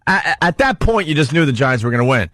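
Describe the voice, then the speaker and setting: A man's voice: a little high, sing-song, fast, a bit bright, no echo. Guy hosting a radio show, talking sports casually, pretty emphatic, dry mic.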